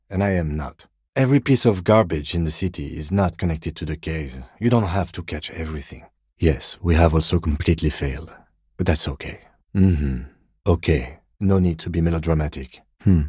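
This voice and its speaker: An actor playing a composed, weary police detective in a video game, with dry, deadpan, measured delivery. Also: male voice, extremely low pitch, husky, dry recording, exaggerated voice. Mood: weary, matter-of-fact.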